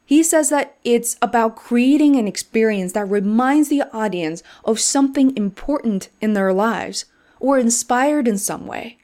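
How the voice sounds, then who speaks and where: A woman's voice: on the high side, unhurried, mellow. A woman podcast host who reads a scripted introduction and then chats with her guest in an encouraging way.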